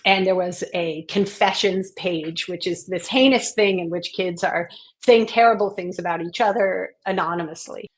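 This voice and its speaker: A woman guest on a podcast interview, from Maine, talking in an earnest, reflective way and growing animated as she tells stories. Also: on the low side, moderate pace. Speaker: guest, education consultant and author.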